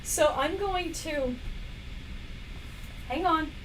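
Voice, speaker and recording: A woman hosting a casual product demo live stream. She is losing her voice and keeps coughing and clearing her throat between chatty remarks. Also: high voice, drawn-out words, background noise. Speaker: live shopping stream host. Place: indoor workplace studio.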